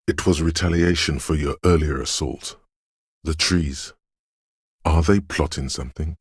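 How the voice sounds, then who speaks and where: A man voicing a brooding, superstitious inner voice of intuition in a video game, speaking in a hushed, portentous, theatrical way. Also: dry recording, studio quality, full character voice. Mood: brooding, conspiratorial. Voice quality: very deep, gravelly, husky. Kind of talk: dramatic video game narration.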